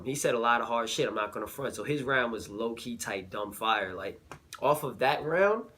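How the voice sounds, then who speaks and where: A slightly sick man recapping a battle rap event in a relaxed vlog, with lots of fillers and slang. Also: medium pitch, moderate pace, heavy background noise. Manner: laid-back, filler-heavy slang. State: a little sick.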